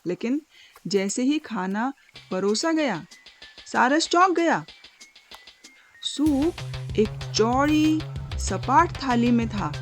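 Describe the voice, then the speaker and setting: Slow-paced woman storyteller. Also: a little high, animated. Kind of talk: scripted moral fable narration. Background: faint music.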